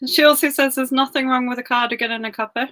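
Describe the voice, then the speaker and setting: A woman's voice: high-pitched, steady tone, quick pace, sharp tone. A woman hosting a casual online author interview on a video call, reading out viewers' comments in a chatty way. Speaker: book club interviewer.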